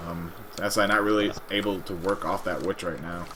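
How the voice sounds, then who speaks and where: A man's voice: deep voice, steady tone, moderate pace, background noise. A man casting an esports match live, mixing play-by-play with casual, slangy chat.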